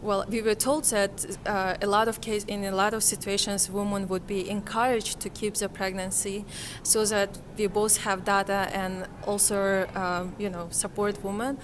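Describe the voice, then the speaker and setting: A woman's voice: medium pitch, flat delivery, normal speed, hardly any pauses, noisy background. A woman from Kazakhstan, giving reflective interview answers in a hesitant way, often searching for words. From Kazakhstan, Central Asia. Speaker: interviewee, conference participant.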